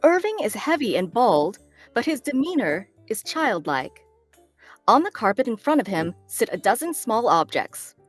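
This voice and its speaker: A woman's voice: fairly high pitch, big pitch swings, normal speed, no room sound, soft background music. A woman narrating stage directions in a scripted table read.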